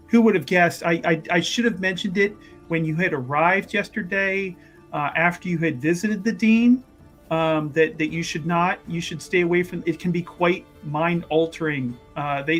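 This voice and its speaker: Male schemer for tabletop fantasy, played by a man. Fairly high voice, placating, stumbling through excuses, faint music behind. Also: fairly bright tone. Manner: hesitant, placating. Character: smooth-talking male schemer, improvising excuses.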